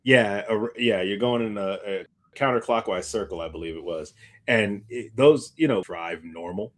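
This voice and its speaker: A man's voice: lowish voice, normal speed. A man co-hosting a podcast, chatting casually in informal, slangy speech. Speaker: podcast co-host. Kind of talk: casual chat.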